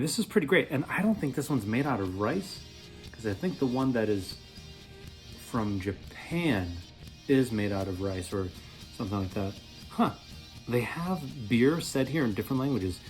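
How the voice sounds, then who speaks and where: A man in his thirties reviewing a product in a casual, playful way, rambling as he thinks aloud, with a slightly husky voice. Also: lowish voice. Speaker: beer reviewer, vlog host.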